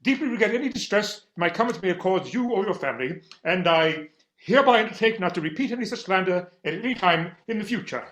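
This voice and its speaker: A man's voice: sits high, lively intonation, fast, a bit bright. A man acting a flustered, frightened, polite victim in a staged comedy reading, pleading and formal. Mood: stiff, formal. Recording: very roomy, echoing sound.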